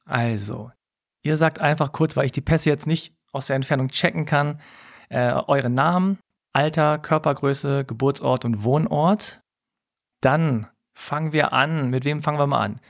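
A man hosting a podcast group chat in a playful, lively, joking way. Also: on the high side, unhurried, gravelly. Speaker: podcast host.

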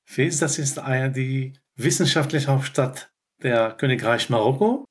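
Man, 50s, hesitant guest on a video chat. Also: mid-range voice. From Morocco. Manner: hesitant, many pauses and fillers. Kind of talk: introducing himself on a video call.

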